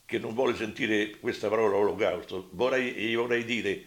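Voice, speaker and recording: An elderly man giving spontaneous testimony as a survivor, halting and repetitive but emphatic. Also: on the low side, steady tone, reverberant. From Rome, Italy. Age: elderly, over 80.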